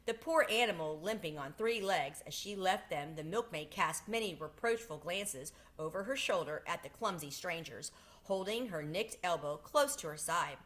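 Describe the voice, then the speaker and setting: A woman's voice: on the low side, lively intonation, normal speed, fairly bright tone. A woman reading a children's storybook aloud as a storyteller.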